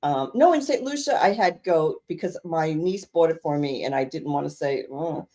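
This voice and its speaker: A woman's voice: sits low, lively intonation, moderate pace, warm tone. A woman from the Caribbean, co-hosting a podcast in casual chat, laughing often and repeating herself. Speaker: podcast co-host.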